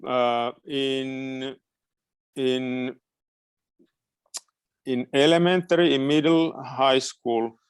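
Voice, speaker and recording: A middle-aged native Finnish man speaks at a slow pace with a smooth, clear voice in a dry recording. He answers informally as an education expert, often pausing to search for words. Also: mid-range voice, fairly even intonation, no echo. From Finland. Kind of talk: informal question-and-answer talk.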